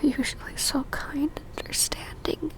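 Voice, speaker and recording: A woman playing a possessive, lovesick female vampire in an ASMR roleplay, whispering breathily in a halting, pleading and tender way. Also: sits high, little pitch movement, close to an everyday voice. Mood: regretful, lovesick.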